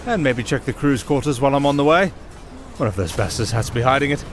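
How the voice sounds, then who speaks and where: A man's voice: medium pitch, animated, fast, faint music behind, lightly acted. A man playing a roguish, wry young musician adventurer in a fantasy audio roleplay, bantering with some hesitation. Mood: wry, scheming.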